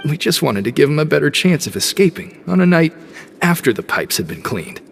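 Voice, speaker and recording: An actor playing a blunt, loyal young prison gang member in a video game, with a street-tough manner. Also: male voice, a little high, big pitch swings, a little fast, creaky. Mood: earnest. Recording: long reverb, music behind.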